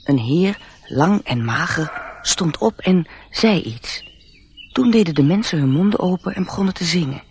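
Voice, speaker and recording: A man's voice: on the high side, lively intonation, normal speed, breathy edge. A man narrating a radio play in a scripted, literary storytelling style.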